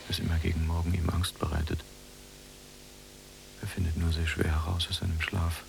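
Forgiving male prisoner, very low creaky voice, measured, detached, radio drama, noisy recording. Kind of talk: German radio drama acting, poetic.

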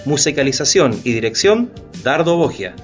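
A male announcer speaks in a formal radio style. His voice is a touch high for a man, with faint music behind it. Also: expressive, normal speed.

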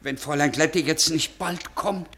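A raspy, impatient, grumbling man. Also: a little high, moderate pace, a bit bright. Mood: harassed, impatient. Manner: brusque, dismissive, grumbling. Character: gruff, impatient male minder of a sick stranger. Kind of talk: 1950s German radio play acting.

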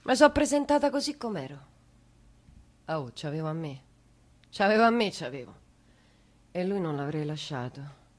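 A woman's voice: sits low, very animated, normal speed, long pauses. A woman playing a grieving, bitter lone mother in a theatrical monologue, with a Roman accent and intimate, broken, repetitive delivery swinging from murmurs to cries. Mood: anguished, hushed.

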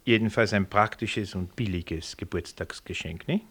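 An actor playing an urbane ministry official in a German radio play, courteous and dryly teasing. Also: male voice, lowish voice, normal speed, fairly bright tone, slightly rough. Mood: dry, amused.

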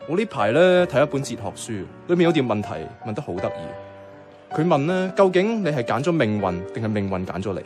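A man plays an eager, philosophising young friend in a radio drama, speaking Hong Kong Cantonese. He explains things with playful earnestness, very lively and fast, with music clearly behind him. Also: medium pitch, natural voice. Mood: curious.

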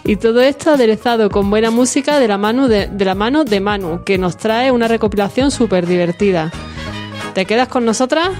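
A woman's voice: a little high, a little fast, over music. A woman with an accent from Spain co-hosting a radio show, presenting its sections from a script. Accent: Spanish from Spain.

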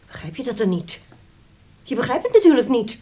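A woman's voice: a little high, big pitch swings, a little fast, mellow. An actress playing a fussy, bossy housewife in a radio play, hesitant and nagging as she manages her family. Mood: troubled, hesitant. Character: fussy, bossy housewife, daughter of old man.

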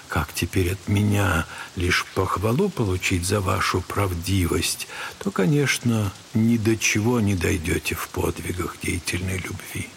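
Elderly monastery elder, unhurried, gravelly, gentle and wise, literary audio drama, faint hiss. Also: male voice, lowish voice. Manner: slow, measured, gently admonishing.

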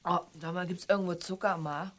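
An actress playing a whiny, complaining young adult daughter in a naturalistic radio play. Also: female voice, lowish voice, steady tone, brisk, slight background noise, natural voice. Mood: whiny, disgusted. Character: grumbling young adult daughter living with her parents.

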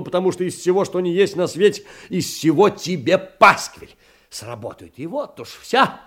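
An actor in an audio drama playing a meek, aging petty clerk, pleading in a fussy, old-fashioned, emotional way. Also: male voice, on the high side, breathy edge. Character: meek, wounded, aging petty government clerk, grey-haired. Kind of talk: theatrical audio drama, letter monologue.

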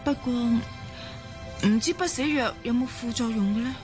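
A woman's voice: a little high, moderate pace, lightly acted. An actress with a Hong Kong Cantonese accent playing a devoted, persuasive woman in love in a radio drama. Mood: tenderly persuasive. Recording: music behind the voice.

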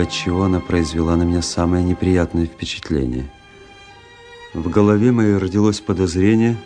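An actor playing a reflective army officer who narrates his travels in a literary radio drama, pensive and measured. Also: low-pitched, warm tone.

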